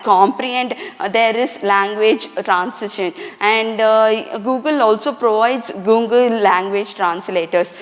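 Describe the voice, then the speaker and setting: Woman, lecturer, classroom talk, halting with restarts, long hall reverb. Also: mid-range voice. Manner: halting, many restarts and fillers.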